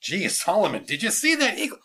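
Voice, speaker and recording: A man's voice: sits high, very animated, normal speed, sharp tone, voice pushed up, heavily acted. A man voicing an earnest, deferential army lieutenant in a story, with eager and respectful military replies. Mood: casual excitement.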